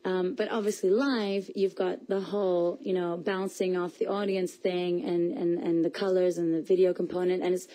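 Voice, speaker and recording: Young woman from Portugal, singer guest on radio; low, mellow voice, fast talk with restarts. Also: flat delivery. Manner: chatty, quick, many restarts and laughs. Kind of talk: radio interview answers. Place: radio studio.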